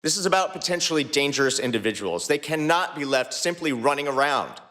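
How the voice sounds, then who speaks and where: A man's voice: expressive, brisk, sharp tone. A man reading the dialogue of a fan fiction aloud, shifting his voice between characters. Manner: voices several characters by shifting pitch and pace. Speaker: podcast co-host reading fanfiction.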